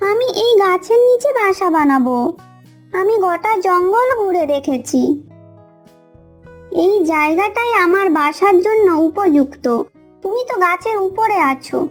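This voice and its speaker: A woman voicing a polite, steadfast mother hen in a cartoon story, reasoning calmly. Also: very high-pitched, a little slow, faint music behind. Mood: calmly firm.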